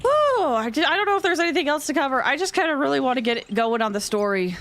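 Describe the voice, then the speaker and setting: A woman hosting a game livestream, chatting casually with viewers in an expressive, excitable way and often repeating phrases for emphasis. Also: high-pitched, fast, a little hiss or hum. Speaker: game streamer and host.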